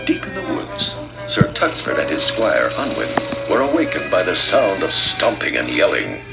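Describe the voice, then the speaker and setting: A man's voice: sits low, huge pitch swings, normal speed, creaky, rough recording. A man reading a scripted read-along children's story as narrator, with dramatic delivery. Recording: music under the voice. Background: music.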